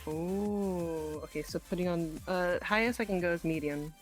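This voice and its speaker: A woman's voice: fairly low pitch, normal speed, soft background music, low-quality recording. A woman chatting casually during an improvised online role-play game, hesitant, giggly and apologetic in manner.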